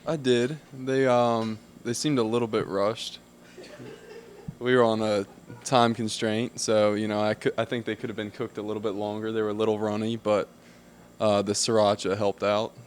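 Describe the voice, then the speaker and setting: A man giving casual, measured, understated answers in conversation. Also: lowish voice, level tone. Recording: faint background room noise.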